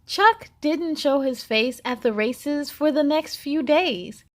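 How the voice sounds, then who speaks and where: A woman hosting children's story time, reading aloud and talking to young listeners in a deliberate, clearly phrased way. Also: sits high. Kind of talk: animated children's read-aloud narration.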